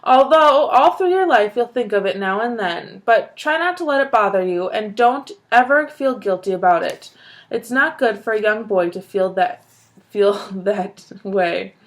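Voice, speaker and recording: A woman voicing an excitable, kindly old grandfather who bursts into loud, eager shouts. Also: medium pitch, unhurried, natural voice. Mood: solemn.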